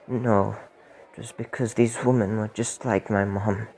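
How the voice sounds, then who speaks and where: A teenage man playing a local baker with a dark secret in an amateur radio play. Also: fairly low pitch, fairly even intonation, a little slow. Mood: grim, confessing. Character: local male baker, secretly a grimly unrepentant killer.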